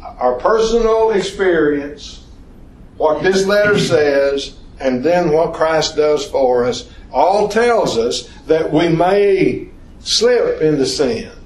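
Slow, halting man teaching a Bible class. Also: on the high side, expressive, darkish tone. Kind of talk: informal Bible study lesson. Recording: echoey room.

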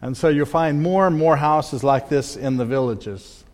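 A man's voice: on the high side, darkish tone. Man presenting slides in a reverberant hall. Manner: conversational, with restarts and pauses. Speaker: missionary speaker. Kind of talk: slide presentation to a church audience.

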